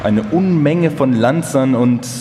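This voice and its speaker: A man playing a German engineer soberly recounting his wartime memories in a measured, formal way in a documentary radio feature. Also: medium pitch, noisy background, natural voice. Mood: grave, reflective. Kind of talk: documentary radio feature, live first-person reading. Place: large hall, live stage performance. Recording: echoey hall with long reverb.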